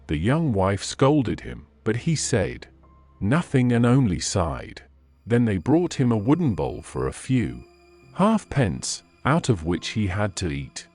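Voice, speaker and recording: A man reading fairy tales as a scripted audiobook narration, in measured, expressive storybook phrasing. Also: lowish voice.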